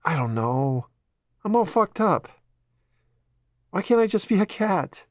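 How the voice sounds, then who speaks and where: Man voicing a weepy, self-doubting young woman, raised pitch, dry close recording. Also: very animated, measured pace, no room sound. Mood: tearful. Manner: shaky, rising into higher pitch.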